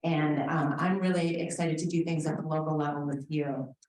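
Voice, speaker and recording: A woman's voice: deep voice, fairly even intonation, moderate pace, darkish tone. A woman candidate reading prepared remarks from notes, with occasional fillers. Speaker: election candidate. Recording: echoey room, distant mic.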